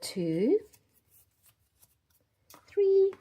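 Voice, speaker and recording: A woman's voice: extremely high pitch, sing-song, extremely slow, lo-fi sound. A South African woman chatting casually to viewers as the host of a craft channel. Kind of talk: casual chat to viewers while doing a giveaway drawing.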